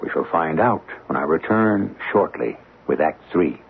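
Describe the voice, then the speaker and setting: A man hosting and narrating a radio mystery show in a measured, dramatic way. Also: very deep voice, animated, vocal fry, very noisy. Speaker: host and narrator. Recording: tinny old radio broadcast with music.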